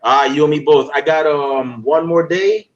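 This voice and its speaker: A young man from Guyana hosting a casual interview, animated and playful, talking in easy slang. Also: a little high, moderate pace, dull audio. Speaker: podcast host.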